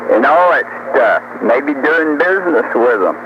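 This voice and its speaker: A man's voice: mid-range voice, expressive, unhurried, highs cut off. An older man rambling, boasting and trading insults in casual argument over CB radio. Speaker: CB radio talker. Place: over CB radio, heavy noise behind. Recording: noisy, band-limited CB radio tape.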